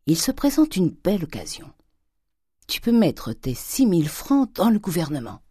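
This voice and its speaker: Audiobook miser: old French father, husky, coaxing, greedy and quick to explode played by a woman. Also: deep voice, dark tone, no room sound, studio quality, full character voice. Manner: coaxing, exclamatory, gold-obsessed. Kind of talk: audiobook character acting.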